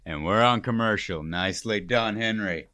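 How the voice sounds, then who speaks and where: An actor playing an easygoing radio station producer, chatting casually with colleagues. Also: male voice, fairly low pitch, moderate pace, sharp tone, no room sound. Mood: relaxed. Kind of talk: casual workplace chat.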